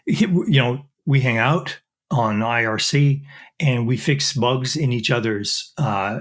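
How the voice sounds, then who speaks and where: A middle-aged man speaks in a thoughtful, halting way, full of fillers and false starts as he works through technical explanations. His pitch sits in an ordinary middle range. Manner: thoughtful, frequent fillers and restarts. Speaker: guest, chemistry professor. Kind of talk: technical interview.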